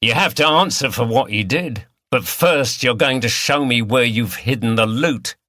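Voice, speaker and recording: A man's voice: medium pitch, moderate pace, pushed and bright, no room sound, studio quality, natural voice. A man voicing a ruthless bank robber who is mockingly polite and threatening in a mystery audiobook. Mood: coolly menacing. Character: ruthless, menacing male bank robber villain with shotgun.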